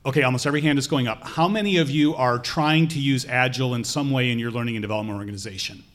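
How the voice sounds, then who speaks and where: A man's voice: medium pitch, very fast, a bit bright. A man hosting a live podcast in front of an audience, chatty and curious as he asks questions. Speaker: podcast host. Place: conference hall with a live audience.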